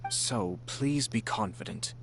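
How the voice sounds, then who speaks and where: An actor playing a courteous, composed and shrewd noble lord in a video game, with polished formal speech. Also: male voice, medium pitch, lively intonation, slow pace, noisy background. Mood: tactful, grave.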